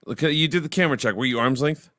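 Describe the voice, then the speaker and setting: A man's voice: fast, fairly bright tone, no room sound, studio quality. A man hosting a livestream in casual, rambling chat, full of crude jokes and filler words. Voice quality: baritone. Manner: rambling, crude joking banter. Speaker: livestream host.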